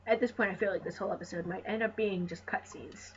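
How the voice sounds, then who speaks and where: Female co-commentator, rapid speech, wry and jokey, casual banter on a dull mic with faint noise. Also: mid-range voice, steady tone. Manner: wry, joking. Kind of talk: casual banter over gameplay.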